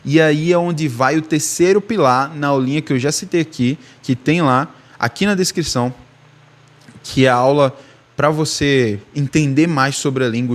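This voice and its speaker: A young man teaching languages in a casual, chatty way, often asking "tá?" and shifting his tone for emphasis. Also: fairly high pitch. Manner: chatty, repeats himself, ends phrases with "tá?"; shifts his tone to show emotion. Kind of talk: casual video lesson.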